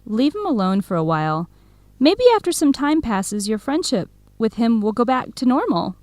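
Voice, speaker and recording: An actress playing an outspoken, caring young woman, a friend in a scripted radio drama, with expressive delivery. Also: fairly high pitch, moderate pace, no room sound. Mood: advising, soothing. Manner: expressive, animated.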